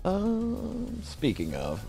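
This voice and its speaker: A man's voice: high voice, wildly swooping pitch, very slow, vocal fry, over music. A middle-aged man chatting casually to a livestream, rambling playfully and often repeating himself. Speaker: streamer presenting a tier list. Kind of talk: casual livestream chat and commentary.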